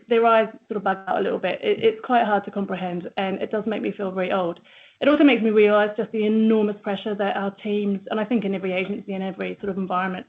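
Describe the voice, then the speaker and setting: New Zealand woman on a phone line. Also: medium pitch. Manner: chatty, with fillers. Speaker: agency managing director. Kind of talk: presentation. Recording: tinny phone-line audio.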